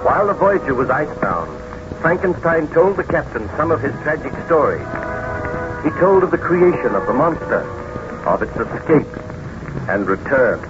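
A man announcing and narrating a 1930s radio serial in a formal, measured way. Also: fairly high pitch, big pitch swings. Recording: muffled 1930s radio recording. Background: music.

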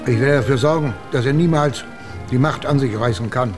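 A man's voice: medium pitch, a little fast, music in the background, lightly acted. A man playing a pompous, scheming Soviet leader in a German radio drama, speaking in a declamatory way. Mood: pompous, declaiming.

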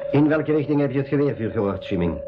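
An actor playing a practical, matter-of-fact member of an expedition in a school radio drama. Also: male voice, medium pitch, expressive, fast talker, lo-fi sound, natural voice. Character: level-headed, practical man on a rescue expedition. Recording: band-limited radio drama, music behind.

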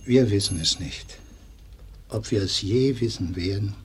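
Concerned, sober male guardian for radio play, male actor: lowish, restrained, earnest. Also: unhurried, slight background noise, lightly acted. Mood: sober, grieving. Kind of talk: German radio play acting.

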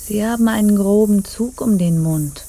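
An actress playing a brooding, sensual pirate captain in a radio drama, speaking in intimate, half-whispered musing. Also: female voice, medium pitch, moderate pace, dark tone, natural voice. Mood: sensual, intrusive. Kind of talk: German radio drama acting.

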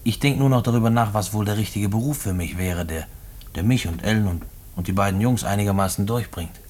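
A condemned working man in a radio drama. His voice is low and husky, and he talks haltingly, circling back on his words as he broods. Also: a little mellow. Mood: pensive, resigned. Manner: halting, repeating himself. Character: brooding condemned prisoner, a working-class husband and father. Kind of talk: German radio play acting.

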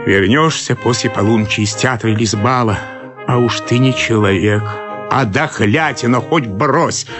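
An actor playing a harried, exasperated husband in a comic radio monologue, complaining with agitated, theatrical emphasis. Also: male voice, mid-range voice, close to an everyday voice. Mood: weary, sardonic. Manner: agitated, complaining, emphatic swoops and drawn-out words. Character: exasperated harried husband, a summer cottage commuter. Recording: studio radio play with music behind.

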